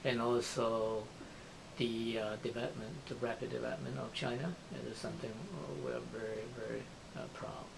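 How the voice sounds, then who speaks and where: A man giving a halting voice-over translation, with many "uh" pauses. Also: steady tone, creaky. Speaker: voice-over interpreter. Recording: background noise and rumble.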